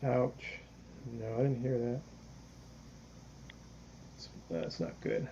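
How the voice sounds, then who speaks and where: A man's voice: mid-range voice, animated, slow, warm tone. A man in his mid forties in casual chat, laid-back and brief. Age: 40s. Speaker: podcast co-host. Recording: dull lo-fi audio with clear hiss.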